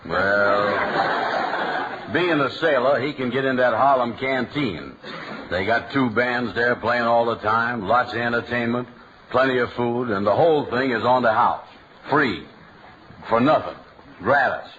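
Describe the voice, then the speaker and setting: A man's voice: mid-range voice, a bit bright, lightly acted. A man playing a mopey, girl-crazy broke fellow. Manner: slow, mopey, easily tempted. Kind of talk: 1940s radio comedy acting. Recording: muffled old radio broadcast with hiss.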